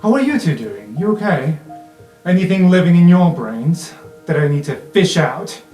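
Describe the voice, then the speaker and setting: A man's voice: on the high side, big pitch swings, normal speed, mellow, voice pushed up. A man playing a blunt, boastful, battle-seasoned magic-school professor in a tabletop game, dramatic and dry. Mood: dry, concerned. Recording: roomy sound with music underneath.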